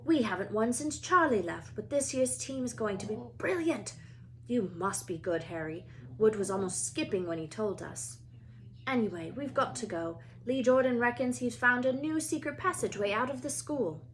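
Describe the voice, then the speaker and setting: A woman's voice: fairly high pitch, animated, normal speed, slight background noise, pitched above the performer's own voice, close to an everyday voice. Woman voicing chummy, upbeat teenage boy. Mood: pleased. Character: cheeky, chummy teenage twin brothers.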